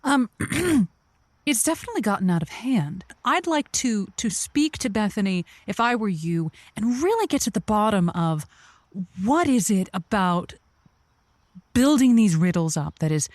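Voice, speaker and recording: A woman playing an earnest, wordy radio advice host, speaking in a thoughtful, halting way with florid vocabulary. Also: mid-range voice, big pitch swings, darkish tone, dry recording. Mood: thoughtful, concerned. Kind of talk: improvised-sounding advice radio show acting.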